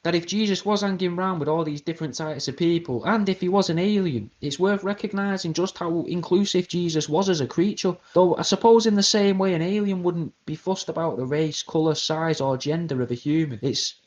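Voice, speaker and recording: A British man hosting an internet radio show, delivering a crude, rambling comedic conspiracy monologue in a deadpan way. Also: on the high side, a little fast, few pauses, lo-fi sound. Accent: British English.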